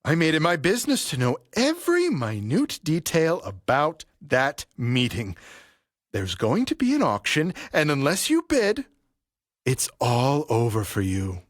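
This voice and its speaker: An actor playing a vengeful graying barber in an audio play, speaking with servile politeness and cool menace. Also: male voice, a little high, huge pitch swings, measured pace, dry recording, clean studio sound, heavily acted. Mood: taunting, controlled.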